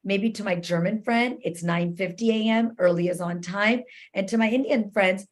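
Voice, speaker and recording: Explanatory female guest, mid-pitched voice. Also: moderate pace. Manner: explanatory, checks in with "right?". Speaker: interview guest, cultural competency expert. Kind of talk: conversational advice-giving.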